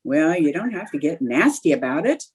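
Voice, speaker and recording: A woman's voice: deep voice, big pitch swings, moderate pace, a little mellow, breathy edge, full character voice. A woman playing a scatterbrained, vain housewife and eager would-be actress in a 1940s-style radio comedy, with exaggerated comic reactions. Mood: eager, indignant.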